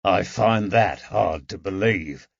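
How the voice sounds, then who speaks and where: A man voicing a patient police constable who asks his questions gently and coaxingly. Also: medium pitch, very animated, measured pace, slightly rough, no room sound, full character voice. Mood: gentle. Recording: dull, dry audiobook recording.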